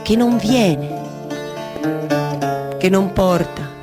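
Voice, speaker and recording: A woman's voice: medium pitch, animated, extremely slow. A woman with a Roman Italian accent playing a weary, yearning wife who weaves stories, in an incantatory theatrical monologue. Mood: lilting, restless. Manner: incantatory repetition, shifting from murmur to insistence. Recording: live music behind the voice.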